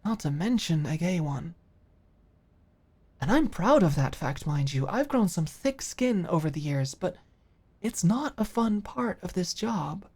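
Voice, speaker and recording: A woman playing an earnest, caring office manager in an intimate ASMR roleplay, speaking haltingly and with open emotion. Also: lowish voice, breathy edge, heavily acted. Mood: serious, caring. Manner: halting, sighing, emotionally expressive.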